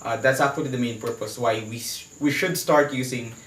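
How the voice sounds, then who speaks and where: A man's voice: medium pitch, steady tone, brisk, a bit bright. A man with a Filipino accent, speaking as an expert guest and explaining terms in an interview. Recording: echoey room, distant mic, faint noise.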